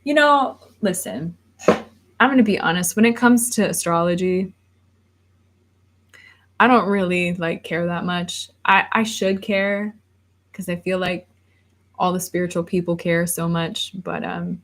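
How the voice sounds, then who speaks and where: A woman's voice: fairly low pitch, unhurried, long pauses. A woman in her thirties, rambling casually on a livestream and reading viewer comments aloud before answering them. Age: 30s. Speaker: livestream host.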